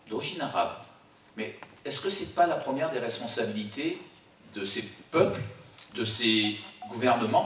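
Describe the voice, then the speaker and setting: A man's voice: medium pitch, fairly bright tone. A man gives an impassioned rally speech, emphatic and repeating phrases for effect. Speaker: speaker at a support rally. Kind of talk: impassioned political speech to a gathering. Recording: echoey, distant microphone, faint background noise.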